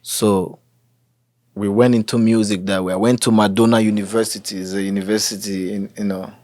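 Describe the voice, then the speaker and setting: A man's voice: on the low side, fairly quick, dry recording, clean studio sound. A young Nigerian man with a Nigerian accent, a musician chatting casually as an interview guest and slipping into Pidgin. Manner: relaxed, drops into Pidgin, many "you know"s.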